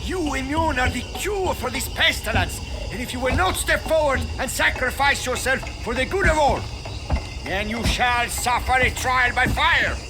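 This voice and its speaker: Loud music surrounds a very high-pitched male voice: a fanatical cult priest from a video game cutscene. He preaches in a grandiose, menacing way, like one who demands sacrifice. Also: moderate pace, poor audio. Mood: fervent, menacing. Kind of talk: dramatic game cutscene acting.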